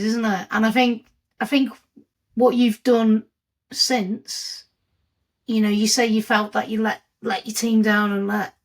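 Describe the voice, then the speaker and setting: Woman interviewer, slow unhurried pace, chatty, tag questions. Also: medium pitch. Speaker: podcast host. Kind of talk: podcast interview.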